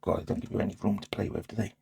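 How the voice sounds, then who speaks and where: A man's voice: on the low side, level tone, racing, gravelly, mellow, husky. A man talking casually to himself in short, tentative phrases as he narrates hands-on repair work. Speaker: host of a DIY repair channel. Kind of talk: casual hands-on repair commentary, thinking aloud.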